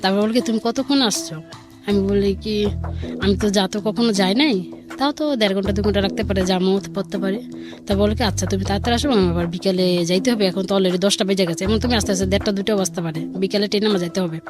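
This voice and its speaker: A woman's voice: mid-range voice, fast. A woman with a Bangladeshi accent telling a personal story conversationally, quoting dialogue back and forth in colloquial speech. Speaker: caller or guest telling her life story. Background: music.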